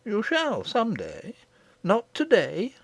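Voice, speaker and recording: A woman voicing a kindly, dignified old great-great-grandmother queen in a storybook audiobook, gentle, gracious and composed. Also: mid-range voice, wildly swooping pitch, slow pace, breathy edge, no room sound, voice pushed up, full character voice. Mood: calm, mysterious.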